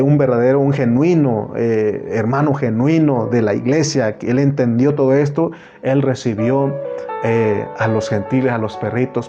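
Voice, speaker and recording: Mexican preacher guy, earnest, keeps saying brother, muffled lo-fi sound with music under it. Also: mid-range voice. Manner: earnest, repetitive, addresses listener as brother. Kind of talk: sermon.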